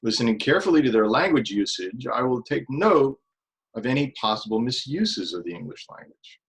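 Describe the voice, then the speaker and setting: A man with a middle-pitched voice explains his duties at a club meeting over a video call. His delivery is formal and deliberate. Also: sing-song. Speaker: grammarian.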